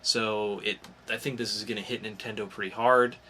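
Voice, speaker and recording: A man's voice: fairly low pitch, steady tone, moderate pace, sharp tone, a little hiss or hum. A man hosting a podcast, talking casually about geek news in an informal, explanatory way with some swearing. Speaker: podcast host.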